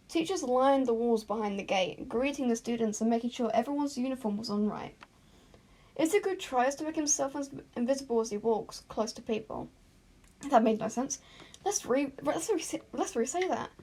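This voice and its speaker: A woman's voice: on the high side, warm tone. A woman narrating fan fiction as an amateur, in an informal, self-conscious way with retakes and asides. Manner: informal, self-conscious, often stumbles and re-says lines.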